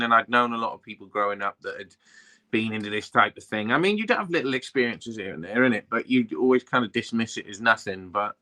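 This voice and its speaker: A man's voice: mid-range voice, bright, forceful tone. A young Welsh man, a tarot reader, chatting in a rambling, laid-back way, full of "you know what I mean". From Wales. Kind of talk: casual interview chat.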